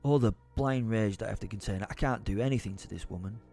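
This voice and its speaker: A man's voice: fairly low pitch, fast talker, creaky, mellow. A man playing a brooding, exasperated werewolf who tells his own story as theatrical first-person audio drama narration. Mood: seething. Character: brooding, foul-mouthed male werewolf, seething with helpless rage.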